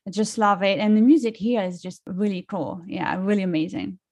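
A woman's voice: mid-range voice, steady tone, a little fast, darkish tone, dry recording. A middle-aged woman with a French accent, chatting as a songwriter guest in an interview, with many fillers and restarts. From France. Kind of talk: casual interview chat.

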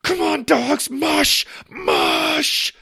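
A man voicing an anxious, excitable young boy in a children's story. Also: extremely high pitch, drawn-out words, gravelly, no room sound, clean studio sound, voice pushed up, heavily acted. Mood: frantic.

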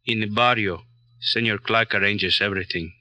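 An actor playing a weary, resigned Puerto Rican immigrant man with a Spanish accent, speaking halting simple English in an old radio crime drama. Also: fairly low pitch, steady tone, pushed and bright, no echo. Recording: old radio recording, faint noise.

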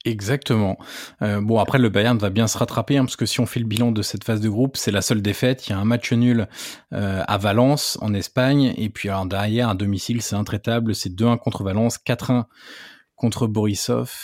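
A man's voice: lowish voice, fairly even intonation, fairly quick, a little mellow, no echo, studio quality. A man hosting a football podcast who lays out the episode plan in an orderly way. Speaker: podcast host.

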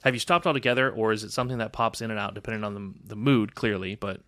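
A man's voice: on the low side, steady tone, quick pace, fairly bright tone, dry recording, studio quality. A man co-hosting a podcast, talking frankly and chattily with stumbling restarts. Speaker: podcast co-host. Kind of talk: casual chat.

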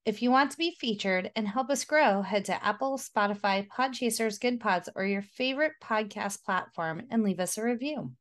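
Hoarse, excitable woman co-host. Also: medium pitch, moderate pace, hardly any pauses. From Florida, United States. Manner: laughs often, excitable. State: slightly hoarse. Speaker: podcast co-host. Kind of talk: casual chat.

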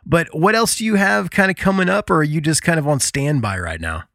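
A man's voice: a little high, lively intonation, a little fast, gravelly, a bit bright, dry recording. Chummy male host, dry close sound. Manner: informal, chummy. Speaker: show host. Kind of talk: casual chat.

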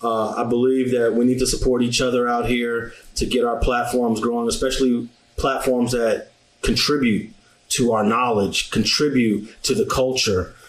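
A man's voice: medium pitch, flat delivery, moderate pace, echoey room, low-quality recording. A man from New York, a podcast guest, explaining at length with dates and facts in a casual interview.

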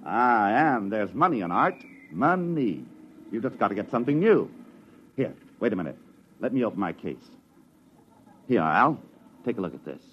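A man's voice: fairly low pitch, very animated, moderate pace, long pauses. A man playing a slick, self-important American sculptor with a breezy salesman's confidence in a 1950s radio drama. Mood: proud, eager. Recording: muffled old radio, faint noise.

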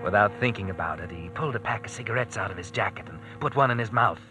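A man's voice: on the low side, quick pace, pushed and bright. A man narrating a hard-boiled old-time radio drama in scripted, storytelling style. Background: dramatic music.

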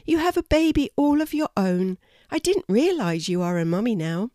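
A woman voicing a gentle, kind female kangaroo in a storybook, speaking softly. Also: fairly high pitch, big pitch swings, moderate pace, no room sound, studio quality, pitched above the performer's own voice. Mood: wistful. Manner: soft, gentle.

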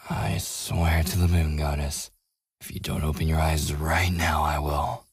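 Casting: male actor as taunting, possessive werewolf for ASMR roleplay. Extremely low register, husky and breathy, menacing. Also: level tone, no room sound, studio quality, heavily acted. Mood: impatient, urgent. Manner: slow, teasing, menacing. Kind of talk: boyfriend ASMR audio roleplay acting.

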